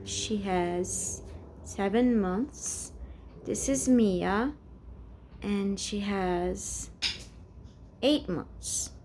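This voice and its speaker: A Brazilian woman in her forties talks through a rambling personal vlog in English, very slowly, at a middle pitch. She hesitates often and searches for her words, with her Portuguese accent clear throughout. A faint hum of room ambience sits behind her at home. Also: a little mellow. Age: 40s. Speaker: vlogger. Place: home, indoors.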